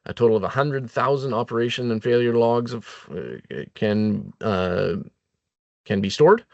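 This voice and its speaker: A man giving an explanatory product training presentation as a webinar presenter, with halting phrasing and frequent filled pauses. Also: mid-range voice, animated, vocal fry, no room sound, highs cut off.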